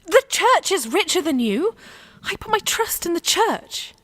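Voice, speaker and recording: Woman doing a devout young peasant warrior girl, headstrong and insistent, high voice that swings about a lot, radio play. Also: very animated, a little slow, a bit bright, a little hiss or hum, exaggerated voice. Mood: resigned, trusting. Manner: impassioned, insistent, plain-spoken.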